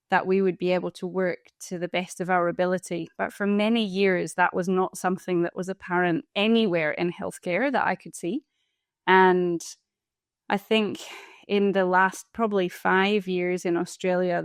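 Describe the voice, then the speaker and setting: A Scottish woman in her forties speaks in a thoughtful, earnest way. Her voice sits low for a woman and sounds smooth and resonant. She is recorded close and dry, with no room sound. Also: no room sound. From Scotland. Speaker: guest, palliative care doctor and coach. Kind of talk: interview conversation.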